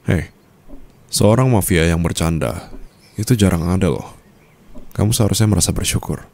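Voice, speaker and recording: An actor with an Indonesian accent playing a calm, teasing and tender mafia boss in an intimate ASMR roleplay, speaking softly and slowly with pauses. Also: male voice. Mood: teasing, playful. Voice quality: very deep, creaky voice.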